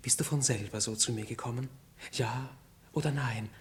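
A man's voice: medium pitch, moderate pace, lightly acted. A man playing an ardent, chivalrous young nobleman in love, speaking lyrical verse in a radio play. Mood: tender rapture.

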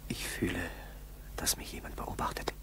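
A man's voice: moderate pace, a bit bright, lightly acted. A man playing a weak, wounded Russian airman, helpless and desperate, in a 1960s German radio drama. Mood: fearful. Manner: swings from breathy whisper to pleading cries. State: weak and exhausted.

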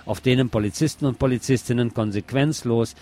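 A man's voice: medium pitch, fairly quick, warm tone. A man presenting a radio news segment, reading reports and press statements from a script.

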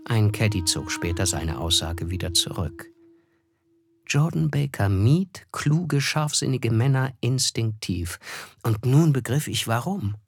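An actor playing a reflective, observant narrator in a radio play, measured and dryly ironic in a literary way. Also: male voice, fairly low pitch. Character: reflective male narrator of a 1920s American novel, observant, wry. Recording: music behind the voice.

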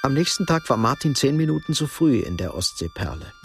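An actor as the sober narrator of a crime radio drama, with a husky, creaky voice. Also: male voice, mid-range voice, very animated, fairly quick, faint music behind. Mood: measured. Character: sober male narrator of a Cold War crime story.

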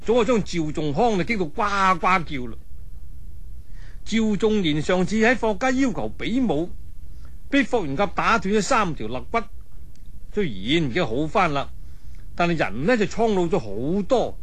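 A man with a Cantonese accent narrating an audiobook in traditional oral storytelling style, colloquial and animated. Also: a little high.

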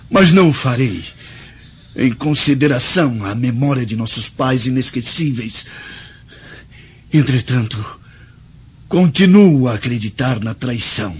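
An actor with a Brazilian accent playing a jealous, proud Roman brother in a declamatory radio drama style. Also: male voice, medium pitch, slightly rough. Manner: declamatory, theatrical delivery. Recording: muffled radio drama with music.

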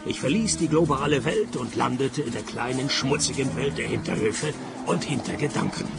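An actor in a radio play playing a wry, world-weary private detective in a deadpan, sardonic manner. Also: male voice, medium pitch, normal speed, creaky, loud music behind the voice, close to an everyday voice. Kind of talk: radio play narration and dialogue.

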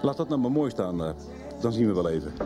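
A man's voice: lowish voice, very animated, quick pace, over music. A man acting in a 1990s radio soap as a brash, dismissive farmer mixed up in a shady scheme. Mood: dismissive, gruff.

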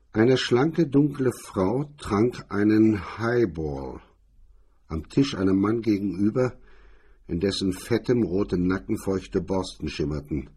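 A man with a husky, rough voice reading scripted crime fiction as a radio drama narrator. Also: lowish voice, mellow.

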